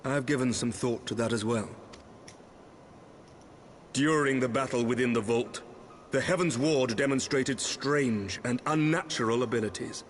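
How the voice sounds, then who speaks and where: A man's voice: medium pitch, moderate pace, close to an everyday voice. An actor playing an earnest, worried young nobleman in a fantasy game, speaking formally and with solemn deliberation. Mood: thoughtful. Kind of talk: scripted fantasy game dialogue. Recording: faint ambient noise.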